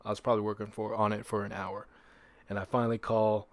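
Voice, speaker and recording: A man's voice: on the low side, flat delivery, fast talker, dry recording. A man hosting a solo podcast in a casual, conversational way, repeating phrases for emphasis and posing rhetorical questions. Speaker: podcast host.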